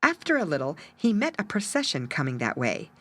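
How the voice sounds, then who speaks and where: A woman's voice: deep voice, huge pitch swings, fairly quick. A woman narrating a children's story expressively, with dramatic emphasis.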